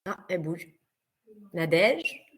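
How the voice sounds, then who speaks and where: A woman's voice: lowish voice, big pitch swings, slow. Emphatic woman from France on a call. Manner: emphatic, repetitive, insistent. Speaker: panelist. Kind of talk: passionate webinar discussion. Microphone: video call.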